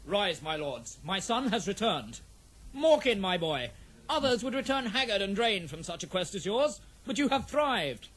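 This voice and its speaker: A man's voice: sits high, very animated, moderate pace, a bit bright, exaggerated voice. A man playing a proud, noble warrior prince and loving father in a fantasy audio drama, with stately, declamatory speech. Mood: joyful, proud.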